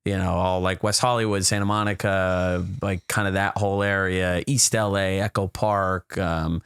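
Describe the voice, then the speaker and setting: Relaxed rambling young man, low voice. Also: normal speed, clear, resonant tone, dry recording, clean studio sound. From United States. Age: 20s. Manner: relaxed, rambling, many fillers and restarts. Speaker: podcast guest, filmmaker. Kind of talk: casual chat.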